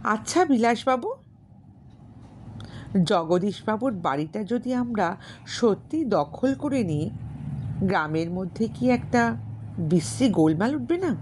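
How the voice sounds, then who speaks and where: A woman's voice: mid-range voice, expressive, slow, long pauses, a little mellow, a little hiss or hum, pitched above the performer's own voice. A woman voicing a genteel young lady in an audiobook story, polite, questioning and tentative. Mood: worried, questioning. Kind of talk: dramatised literary audiobook dialogue.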